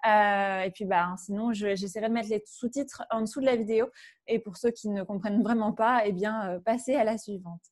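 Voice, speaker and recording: A woman's voice: medium pitch, fairly even intonation, fast talker, a little mellow. A French woman hosting an interview, speaking hesitantly and searching for her words. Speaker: host. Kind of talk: interview, casual.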